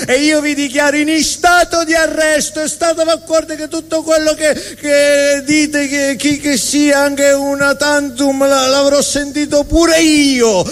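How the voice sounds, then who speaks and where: A man's voice: very high voice, voice pushed up. A man playing a bumbling, self-important policeman in a comic stage monologue, garbling officialese and repeating himself. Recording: reverberant hall, live stage.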